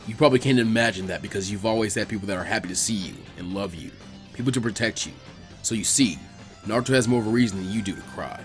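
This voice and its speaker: A man voicing a gruff, weary, laid-back ninja mentor in a dramatic story reading, with dry, low-key muttering. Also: quick pace, soft background music, close to an everyday voice. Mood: calm, frank. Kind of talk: dramatic fan fiction character reading.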